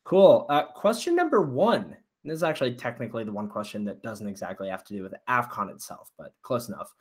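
A man in a relaxed podcast chat, running a quiz segment with an upbeat, encouraging manner. His pitch sits in an ordinary middle range, and he keeps things light as he reads out questions and hands out hints. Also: fairly quick, husky. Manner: upbeat, encouraging, gives clues. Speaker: quiz host.

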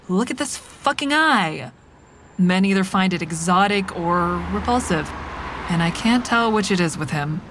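An actress playing a wry, hard-edged woman who narrates her own story in a frank, deliberate dramatic style. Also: natural voice. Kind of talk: scripted first-person dramatic narration. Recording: clear rumbling traffic noise behind.

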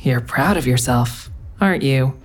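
A woman's voice: extremely low pitch, expressive, measured pace, music in the background. A woman playing a grim, confrontational investigator in an audio drama, questioning in a terse, dry, needling way. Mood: challenging. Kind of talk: audio drama acting with narration.

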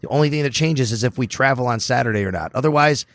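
A man with a hoarse, raspy voice, chatting casually as a podcast guest about his work as a sports reporter, telling stories in a quick, animated way. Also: mid-range voice, no echo. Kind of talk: casual chat. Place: bar or restaurant.

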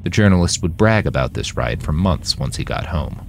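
A man reading scripted fiction narration in an expressive, dramatic storytelling manner. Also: rumbling low, moderate pace, creaky, slight background noise. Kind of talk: scripted audiobook-style narration of a dystopian war novel.

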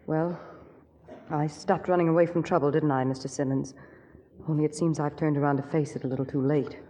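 A woman's voice: low-pitched, steady tone, fairly quick, dark tone. An actress playing a troubled young woman under suspicion, desperate and frightened, in 1940s radio drama style. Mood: defensive, resigned. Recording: old hissy radio recording, hall reverb, music.

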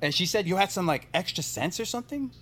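An actor playing a goofy, eager new coworker who rambles in a casual, enthusiastic way, in an audio drama. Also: male voice, high-pitched, lively intonation, quick pace, heavy background noise. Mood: curious, eager.

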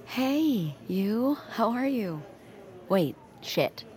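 A woman playing an anxious, tender submersible pilot and expectant mother, often hushed and halting, in an intimate audio drama. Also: on the high side, big pitch swings, heavily acted. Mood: flustered, apologetic.

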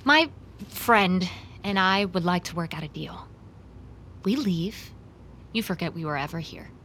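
A woman's voice: lowish voice, animated, measured pace, a bit bright, slight background noise. An actress playing a guarded, earnest woman, the pleading conscience of a dangerous pair, in a naturalistic audio drama. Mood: guarded, negotiating. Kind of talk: audio fiction drama acting. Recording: music underneath.

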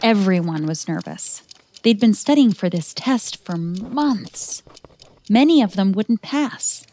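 A woman's voice: medium pitch, lively intonation, takes it slowly, mellow. A woman narrating a scripted biography as a children's story, explaining things in simple words.

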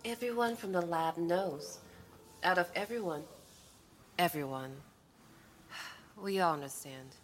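A woman playing an understanding lab colleague in a science fiction audio drama, speaking in a low-key, intimate way with short phrases. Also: takes it slowly, long pauses, a little hiss or hum. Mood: sympathetic, subdued.

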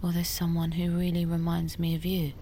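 An actress playing an anxious, apologetic niece, hesitant and stammering, in an audio drama. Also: female voice, lowish voice, completely flat delivery, fairly quick, mellow, a little hiss or hum, close to an everyday voice. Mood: flustered, awkward. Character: anxious, apologetic, flustered niece under pressure.

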